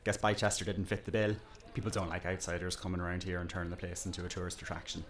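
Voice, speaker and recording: A man acts out a village barkeep for an audio drama. His voice sits on the low side, and he talks in a folksy, wry way. He is chatty and politely nosy, always ready to tease. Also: flat delivery, quick pace, close to an everyday voice. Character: chatty, wry village pub landlord with a teasing streak.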